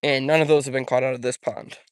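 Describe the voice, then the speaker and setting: A man's voice: medium pitch, little pitch movement, quick pace, smooth, no echo. Chatty young man, dry close sound. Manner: casual, rambling, answers viewer chat. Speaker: fishing YouTuber. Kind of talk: live-stream chat while fishing. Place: outdoors by a pond.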